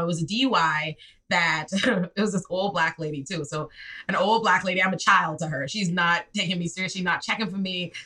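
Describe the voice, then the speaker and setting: A Bahamian woman in her twenties, a public defender telling stories in a casual podcast chat, her voice leaping high when she acts out scenes. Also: low-quality recording. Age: 20s. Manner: lively, swings into high excited re-enactments. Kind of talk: animated storytelling in casual chat.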